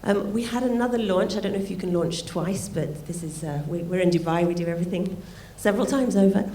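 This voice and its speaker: A woman with a croaky voice giving a welcome speech at an event, with light asides as she thanks people. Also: medium pitch, fairly quick, warm tone. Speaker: art fair director opening a forum. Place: event venue. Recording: roomy hall sound, faint noise.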